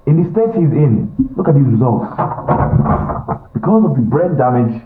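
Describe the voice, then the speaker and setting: Tinny and reverberant, a man acts an earnest doctor in an audio drama. He is deferential yet principled, speaking with hesitant concern in a medium-pitched voice. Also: very animated, moderate pace, natural voice. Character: deferential but principled male doctor, worried for patient. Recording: echoey, tinny phone-like band-limited sound.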